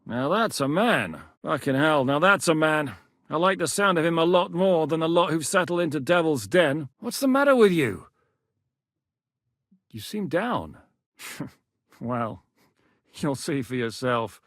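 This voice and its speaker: An actor playing a gruff, battle-hardened war commander in a video game, blunt and coarse as he barks orders. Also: fairly high pitch, expressive, a little slow, pauses often, a bit bright, no room sound, studio quality. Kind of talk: game voice lines.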